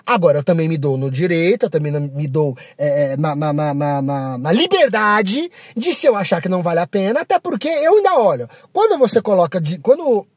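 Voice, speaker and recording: Young São driver, casual vlog rant, animated and a bit husky. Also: male voice, high-pitched, fairly quick, few pauses, no room sound, clean studio sound. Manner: rambling, frank, self-deprecating, with many fillers. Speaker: app driver.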